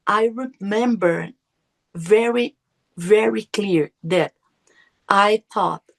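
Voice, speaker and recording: Slow, earnest woman, Portuguese native. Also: medium pitch. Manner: earnest, searching for words, apologetic. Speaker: podcast guest. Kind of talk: personal testimony.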